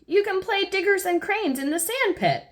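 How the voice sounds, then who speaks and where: A woman voicing a kind and patient mother pig who speaks gently and politely. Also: a little high, wildly swooping pitch, unhurried. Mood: gentle.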